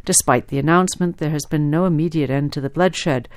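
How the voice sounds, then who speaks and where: A woman's voice: sits low, fairly quick, a little mellow, dry recording. A woman presenting international radio news in a scripted reading style.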